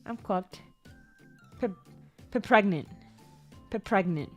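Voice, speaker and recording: A young woman chatting casually on a livestream. She rambles, repeats and stumbles over words, and her voice sometimes jumps suddenly into high squeaks. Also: slow, darkish tone, dull audio. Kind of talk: casual stream chat. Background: faint background music.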